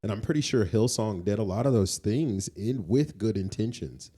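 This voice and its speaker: Emphatic man with a mellow, lowish voice. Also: lively intonation, moderate pace, slightly rough. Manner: emphatic, moralizing, repeats points for weight. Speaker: podcast co-host. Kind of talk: conversational opinion on faith.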